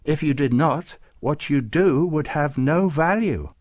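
A man's voice: fairly high pitch, measured pace, darkish tone, voice pushed up. Man voicing a stately, solemn saint. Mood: patient. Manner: stately, pronouncing formally. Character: solemn, kindly male saint presiding over council. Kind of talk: storybook character voice.

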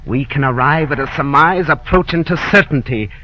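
An actor playing an obsessed, commanding old whaling captain in a radio drama, rising into grand declamation. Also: male voice, fairly high pitch, normal speed, highs cut off, lightly acted. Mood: calculating. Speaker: ship captain.

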